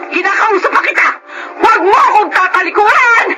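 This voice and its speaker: A woman's voice: very high voice, expressive, a little fast, heavy background noise. A woman with a Filipino accent playing a middle-aged, protective mother who implores melodramatically in a Tagalog radio drama. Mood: anguished scolding. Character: tearful, imploring mother and wife, passionately protective.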